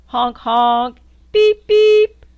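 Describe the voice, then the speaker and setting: A woman's voice: lively intonation, extremely slow, a little mellow, lo-fi sound. An older woman reading a children's picture book aloud in a sing-song way, recorded indoors on a camera in front of her. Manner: sing-song, squeaks high on the horn sounds. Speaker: storybook reader. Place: indoors before a quilt backdrop.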